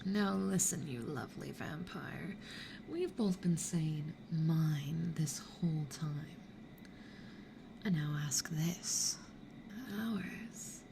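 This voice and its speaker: A woman acts out a devious succubus for an ASMR roleplay. She is possessive and teasing, slipping into whispers, with a slow, low-pitched delivery over clear background noise. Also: full character voice. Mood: persuasive, sly.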